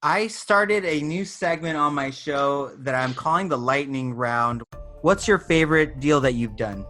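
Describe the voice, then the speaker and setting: A man's voice: fairly high pitch, unhurried, a bit bright, soft background music. A man hosting an interview show, chatting casually and asking questions in an informal, curious way. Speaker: podcast host.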